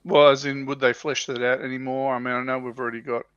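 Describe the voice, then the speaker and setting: A man's voice: mid-range voice, level tone, fast talker, fairly bright tone, clear, resonant tone, no echo. A man co-hosting a casual livestream chat, tentative and thinking aloud, on a slightly dull, dry recording. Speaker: livestream co-host on tabletop wargaming show.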